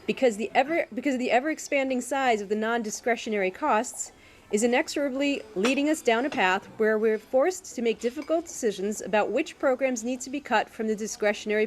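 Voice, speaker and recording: A soft-spoken woman reading a candidate's prepared statement aloud with care. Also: on the high side.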